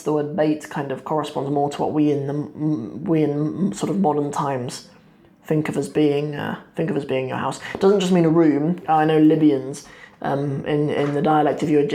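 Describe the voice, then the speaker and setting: A young man in his twenties teaching Arabic poetry line by line, thinking aloud with many pauses and restarts, seated at a desk in a small room. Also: a little high, a little mellow. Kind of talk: explaining a poem line by line.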